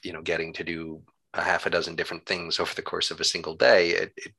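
Low-voiced man with a creaky edge. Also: flat delivery, quick pace, bright, forceful tone. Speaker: interview guest, town government employee. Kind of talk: conversational interview.

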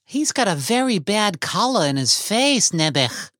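Seeking an old immigrant wife: sharp tongue, Yiddish-American lilt, high animated delivery, storybook narration. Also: male voice, unhurried, no room sound, studio quality, heavily acted. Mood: nagging. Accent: Yiddish-inflected American English. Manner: querulous, rhetorical questioning.

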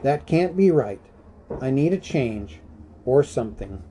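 A man's voice: medium pitch, expressive, a little slow, mellow, slight background noise, pitched above the performer's own voice, close to an everyday voice. A man voicing an elderly hobbit in a storybook reading, fretful, stubborn and possessive, with a querulous, insistent manner. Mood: weary, unsettled.

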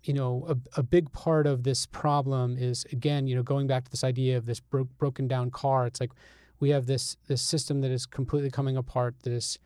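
Smooth, mellow man explaining carefully. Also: medium pitch, level tone, dry recording, studio quality. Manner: measured, hesitant, careful explaining. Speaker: newspaper reporter as interview guest. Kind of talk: explanatory interview talk.

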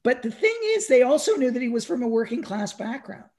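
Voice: medium pitch, very animated, fairly quick, a little mellow. A podcast speaker in casual discussion of music history, stammering, repeating words and often checking with "right?". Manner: frequently tags phrases with "right?".

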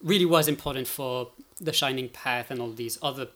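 A man's voice: medium pitch, a little fast, a bit bright. A man speaking as an expert guest in a conversational interview, thinking aloud and often checking with "right?". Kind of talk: conversational explanation in a podcast interview.

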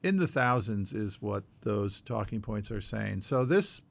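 A man co-hosting a podcast, talking through news points in a measured, explanatory way with frequent qualifiers and a gravelly edge. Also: on the low side, lively intonation. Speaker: podcast co-host. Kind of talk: conversational news discussion working through numbered points.

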